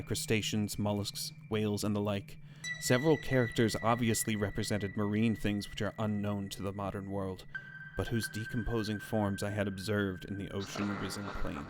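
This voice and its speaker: A man's voice: on the low side, lightly acted. A man playing a haunted explorer recounting a strange ordeal in a solemn, literary audio drama, with an ambient score behind. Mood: grave wonder. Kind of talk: dramatic audio horror story narration. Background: ambient musical score.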